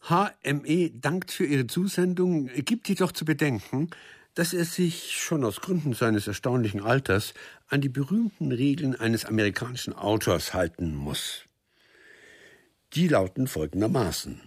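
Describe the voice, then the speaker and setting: A man with a husky voice giving a formal, courteous, drily delivered literary reading. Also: mid-range voice, lively intonation, normal speed, no echo, clean studio sound.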